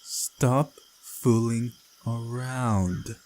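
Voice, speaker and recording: A man voicing a proud, confrontational teenage girl from a visual novel, sharp and impatient in manner. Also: mid-range voice, steady tone, extremely slow, slight background noise. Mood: angry, accusing.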